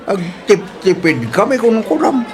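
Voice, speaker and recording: A man's voice: high-pitched, very animated, a little slow. A man playing a frugal, teasing old father in an Ilocano comedy drama, with an Ilocano accent. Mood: teasing, grumbling. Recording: loud music and crowd behind.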